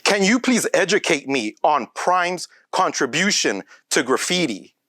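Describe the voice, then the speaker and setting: A man's voice: on the high side, big pitch swings, unhurried, pushed and bright, no room sound. Man asking questions, dry sound. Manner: direct, plain questioning. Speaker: interviewer. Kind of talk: interview questions.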